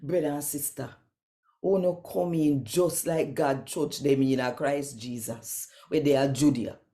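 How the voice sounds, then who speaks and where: Jamaican lady reading bible in patois, really slow and soft-toned, expressive storyteller emphasis. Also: very deep voice. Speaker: scripture reader.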